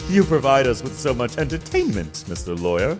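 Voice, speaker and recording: A man acts out a vain, self-satisfied witness in a game courtroom drama. He is pompous and flowery, with a mid-range voice that swoops theatrically over clear background music. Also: mid-range voice, big pitch swings, a little fast, close to an everyday voice. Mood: amused, oily.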